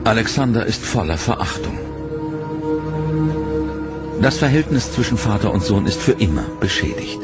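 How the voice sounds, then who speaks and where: A man narrating a history documentary from a script, in a measured, dramatic way. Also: lowish voice, expressive, over loud music.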